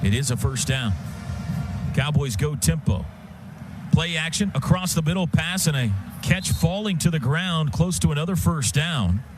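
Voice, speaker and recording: American man calling football, fairly high voice. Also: expressive, normal speed, over music. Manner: clipped, descriptive call of the action. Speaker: play-by-play announcer. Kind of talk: live American football radio commentary. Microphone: broadcast booth microphone. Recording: loud stadium sound behind radio broadcast.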